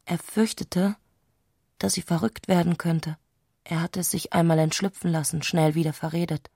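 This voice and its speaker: Eine Frau spricht in einem literarischen Hörspiel die introspektive Erzählerin, die mit bedachten Pausen die Gedanken einer aufgewühlten Frau schildert. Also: female voice, fairly low pitch, fairly even intonation, vocal fry, dark tone, slightly rough, no room sound, clean studio sound. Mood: introspective, hushed. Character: intimate, introspective female narrator of a troubled woman's thoughts. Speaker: narrator in a literary radio play. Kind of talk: literary radio drama narration.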